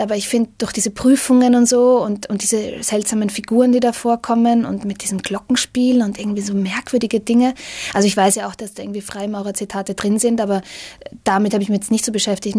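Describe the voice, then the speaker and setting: A woman's voice: mid-range voice, a little mellow. A woman, an actress being interviewed, chatting casually and searching tentatively for her words.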